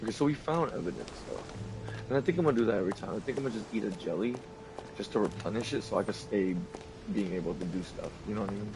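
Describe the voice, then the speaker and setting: Raspy gamer guy, gravelly low voice, casual let's-play chatter over faint music. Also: animated, rough recording. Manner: casual, reads letters aloud haltingly. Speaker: gameplay streamer.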